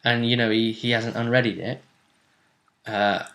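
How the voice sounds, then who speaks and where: A man's voice: medium pitch, little pitch movement, a little slow, fairly bright tone. A man, a game master, casually recapping a tabletop role-playing match in a rambling, storytelling way. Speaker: game master and show host.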